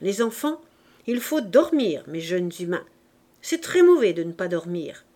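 A woman's voice: lowish voice, very animated, a little slow, warm tone. A woman reading aloud, voicing a cheeky, streetwise Paris urchin boy who talks in brash, bossy slang.

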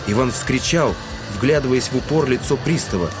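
A man's voice: mid-range voice, animated, normal speed, natural voice. A man narrating a literary audio drama in a scripted, storytelling style. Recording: music under the voice.

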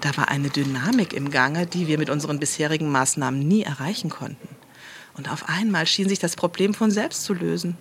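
An actor playing a smug, cynical official in a satirical German radio play, explaining things in a smooth, matter-of-fact, callous way. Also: mid-range voice, brisk, slight background noise, lightly acted. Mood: gleeful, discreet. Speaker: guide and official showing visitors around.

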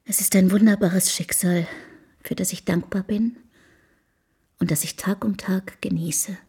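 An actress in a German historical radio drama playing a composed, dutiful common-born companion of a poet, measured and plain-spoken. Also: female voice, medium pitch, steady tone, mellow, lightly acted. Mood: grateful, reflective.

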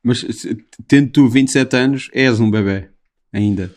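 A man's voice: mid-range voice, unhurried, a little mellow. A man in his thirties with a European Portuguese accent, interviewing a musician in a chatty, teasing way, with hesitant questions. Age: 30s. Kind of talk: casual interview chat.

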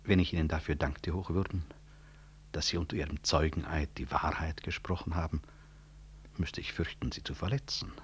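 An actor playing a proud, wronged physician and professor in a 1960s German radio drama, formal, measured and dryly ironic. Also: male voice, a bass voice, fairly even intonation. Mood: dry courtesy. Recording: 1960s radio recording, faint noise.

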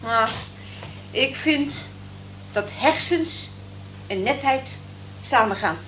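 A high-pitched, working-class night cleaner, musing and observant in a radio drama. She chats in a wistful, reflective way. The recording is muffled and roomy, with some hiss. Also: lively intonation, slow pace. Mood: chatty, musing. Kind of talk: radio play acting.

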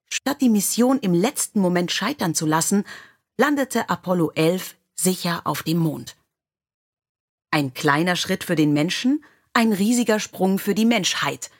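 A woman's voice: on the low side, slightly rough. A woman narrating scripted biographical stories in a storybook style. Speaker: audiobook narrator.

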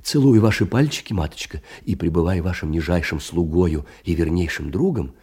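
Husky, deep-voiced doting clerk. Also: male voice, animated, moderate pace, mellow. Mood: affectionate, consoling. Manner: sentimental, doting, old-fashioned endearments. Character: tender, meek, lovestruck middle-aged male clerk. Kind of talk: theatrical audio drama, letter monologue.

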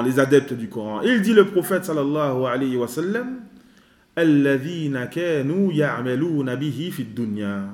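A man teaching a religious lesson in a measured way, repeating his advice and mixing in Arabic phrases. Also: a little high, darkish tone. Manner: mixes in Arabic phrases and recitation. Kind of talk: religious lesson with questions and answers. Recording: echoey room.

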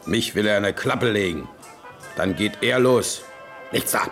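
A man's voice: lowish voice, animated, measured pace. An actor playing a blunt, coarse Prussian king in a 1970s radio play, with music behind. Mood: gruff. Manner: coarse, plain-spoken, mocking. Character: blunt gruff Prussian king, middle-aged man. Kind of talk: 1970s radio drama acting.

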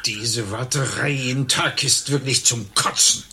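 A man's voice: mid-range voice, measured pace, pushed and bright. A man playing a crude, grumbling, long-suffering waiting-room patient in a satirical radio play. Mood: disgusted.

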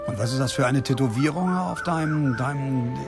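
A man playing a desperate, agitated German abroad in a radio play, excitable and exasperated. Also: medium pitch, normal speed, over music, close to an everyday voice. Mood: hushed, fascinated.

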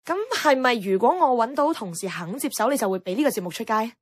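An actress playing a frustrated, outspoken young radio worker in a Cantonese radio drama, with a Hong Kong accent and wry, expressive, colloquial delivery. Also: female voice, on the high side, quick pace, dry recording. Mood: hesitant. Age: young adult. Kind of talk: radio drama acting with inner-monologue narration. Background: background music.

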